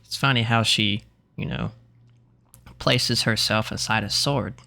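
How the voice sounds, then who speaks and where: A man's voice: lowish voice, fairly even intonation, unhurried, gravelly, sharp tone. A man giving casual, joking gameplay commentary in informal, bro-ish slang. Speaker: game streamer.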